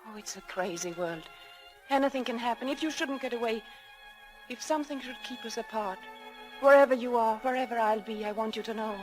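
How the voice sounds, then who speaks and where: A woman's voice: on the high side, a little fast, natural voice, low-quality recording. An actress in a 1940s radio drama playing a tender, secretive woman in anguished love, earnest and romantic. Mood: anguished, pleading. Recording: old radio broadcast with music behind.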